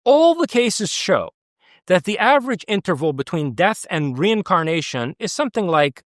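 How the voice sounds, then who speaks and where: A man's voice: high voice, sing-song, normal speed, fairly bright tone, no echo, studio quality. A man from the United States speaking as an interview guest, earnest and emphatic, with frequent restarts. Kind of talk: conversational interview.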